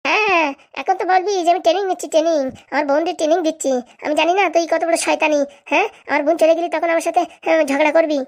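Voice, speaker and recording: Shrill, rapid-fire nagging cartoon wife voiced by a woman. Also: fairly even intonation. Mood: nagging, demanding. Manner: scolding, accusatory, rapid-fire haranguing. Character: bossy, nagging, domineering wife of a village politician. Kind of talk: comic cartoon voice acting.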